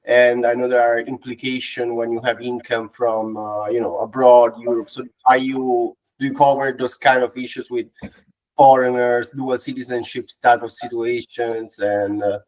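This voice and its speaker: A man's voice: mid-range voice, little pitch movement, moderate pace, darkish tone, poor audio. A man with an Italian accent, asking a polite, hesitant question as a webinar attendee. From Italy. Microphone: video call.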